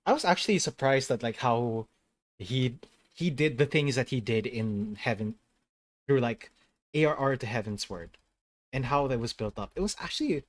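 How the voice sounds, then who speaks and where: A man's voice: medium pitch, dry recording. A man hosting a casual gaming stream chat. He rambles, corrects himself mid-sentence and laughs easily. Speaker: host of a gaming channel.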